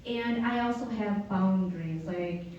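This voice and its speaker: A woman's voice: mid-range voice, unhurried, a little mellow, slight background noise. A woman on a panel speaking in a reflective, conversational way. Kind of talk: panel discussion. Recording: dull echoey room, mic far off.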